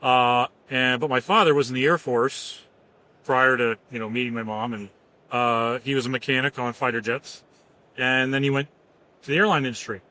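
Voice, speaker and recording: An easygoing man from near Philadelphia answers interview questions. He tells his own story plainly and matter-of-factly, in a mid-pitched voice. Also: fairly even intonation, moderate pace, sharp tone, low-quality recording. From Pennsylvania, near Philadelphia. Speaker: guest, company founder and former Marine. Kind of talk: casual interview.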